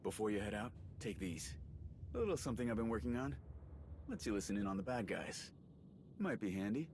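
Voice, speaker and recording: Casting: friendly sci-fi game slicer, chatty and wry, animated delivery on the lower side. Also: male voice, brisk, a little hiss or hum. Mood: businesslike. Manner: casual, wry, rambling with asides. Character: eager, chatty male soldier and tech slicer, friendly and quippy. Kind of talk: video game cutscene acting.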